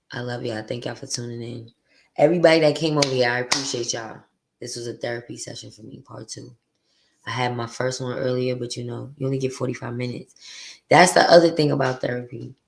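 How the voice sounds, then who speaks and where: A woman with a deep voice hosting her podcast, venting bluntly and profanely in a candid, confessional monologue. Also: creaky. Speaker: podcast host.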